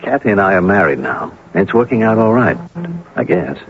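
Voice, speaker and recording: A man's voice: on the low side, very animated, moderate pace. An actor playing a meek, rueful husband who confides his story in a wry, hesitant way, in a radio drama. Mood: rueful, confiding. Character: John Bates, meek, rueful married man telling his story. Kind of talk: radio drama first-person narration. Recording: dull lo-fi old radio, faint noise.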